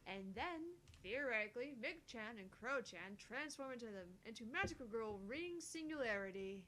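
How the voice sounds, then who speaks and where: Young female scientist, read by a woman, visual novel part: fairly high voice, earnest, easily flustered, slight background noise. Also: expressive, moderate pace, bright, forceful tone. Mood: earnest explaining. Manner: stammering, earnest, explanatory. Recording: faint noise with low rumble.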